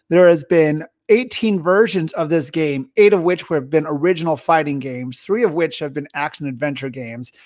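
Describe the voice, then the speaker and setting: A man's voice: a little high, no room sound, clean studio sound. A man hosting a podcast in a casual style, partly reading from notes. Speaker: podcast host.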